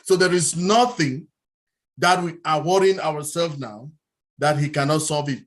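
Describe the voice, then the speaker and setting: A man's voice: on the high side, a little slow. A man on an online call, speaking earnestly with simple, repeated reassurances. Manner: earnest, repetitive, plain phrasing. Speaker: meeting participant. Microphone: video call.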